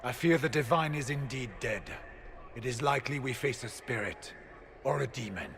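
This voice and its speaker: Devout fantasy warrior, game drama, gravelly, grave, steady, cautious, noisy background. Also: male voice, medium pitch, moderate pace. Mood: wary.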